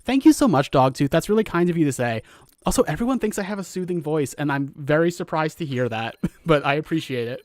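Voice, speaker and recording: A soft-spoken man chatting casually while streaming a game and reading chat comments aloud. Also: a little high, lively intonation, brisk, dry recording.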